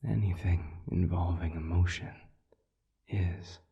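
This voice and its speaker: A man voicing a calm, wise demon counselor in an intimate audio roleplay, soft and breathy, speaking in measured phrases with deliberate pauses. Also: dull audio. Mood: thoughtful. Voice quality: breathy, whispery, very low.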